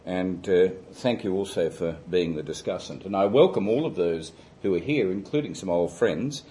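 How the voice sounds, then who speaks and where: An older man speaks into a microphone in a seminar room, his voice pitched on the low side. He lectures loudly and deliberately. His sentences are dotted with ums and hesitant restarts. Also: a little hiss or hum. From Australia. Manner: loud, deliberate, hesitant with many fillers. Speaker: guest lecturer.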